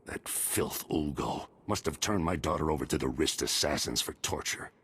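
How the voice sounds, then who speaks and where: An actor playing a stern, worried middle-aged army major and father in a video game, gruff and commanding. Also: normal speed, a bit bright, exaggerated voice. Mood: grave, pleading. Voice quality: very deep, gravelly husky voice. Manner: gruff, commanding military delivery.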